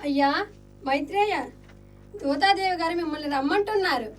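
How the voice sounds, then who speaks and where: Radio drama actress: hurried, scolding young housemaid, extremely high voice, off-mic. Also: female voice, far from the mic, natural voice. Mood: dutiful. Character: Rajanika, brisk scolding young maidservant. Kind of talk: Indian radio play acting.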